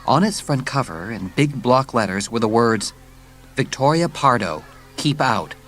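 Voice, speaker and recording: A man's voice: medium pitch, expressive, measured pace, a bit bright, faint music behind. A man reading scripted narration as the storyteller of a dramatised audiobook.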